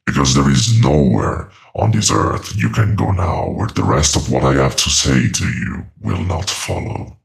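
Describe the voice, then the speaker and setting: A man playing an ancient, patient demonic entity in a horror audio drama, speaking with calm, mocking, deliberate menace. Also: a bass voice, creaky, heavily acted.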